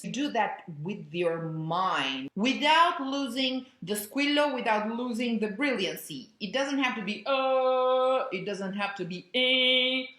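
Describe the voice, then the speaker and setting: A woman, an opera coach explaining vocal technique with vivid imagery. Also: mid-range voice. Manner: expressive, imagery-rich teaching. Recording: roomy echo.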